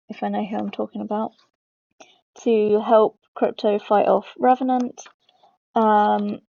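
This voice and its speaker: Mellow woman explaining, slightly creaky, dull mic. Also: mid-range voice, no room sound. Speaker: host of a game lore channel. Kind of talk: informal explainer narration.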